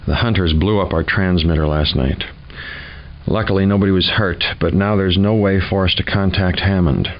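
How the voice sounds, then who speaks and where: An actor playing a gloomy, wry scientist who narrates mission notes in a video game, dry and foreboding. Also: male voice, low voice, steady tone, normal speed. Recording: dull lo-fi game audio.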